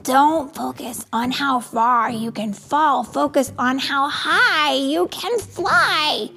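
A woman voicing a friendly, adventurous cloud in a children's storybook, speaking softly and calmly. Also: high voice, slow pace, vocal fry, faint music behind, pitched above the performer's own voice, exaggerated voice. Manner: soft, calm, encouraging.

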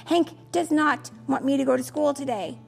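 Woman playing a stubborn, high-voiced little kid. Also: moderate pace, creaky, faint music behind. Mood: pleading, upset. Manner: insistent, pleading. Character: stubborn anxious young child, first-day school refuser.

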